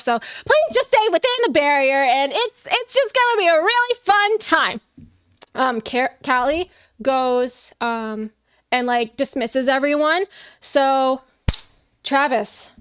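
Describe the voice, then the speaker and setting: A woman's voice: sits high, a bit bright, clear, resonant tone, dry recording, studio quality. A young adult woman narrating a tabletop game as game master, chatty and upbeat with lots of fillers.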